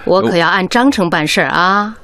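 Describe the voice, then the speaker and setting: An actress playing an eager, dutiful head nurse in a Chinese radio drama, polite and earnest yet insistent. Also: female voice, medium pitch, normal speed, vocal fry, bright, forceful tone.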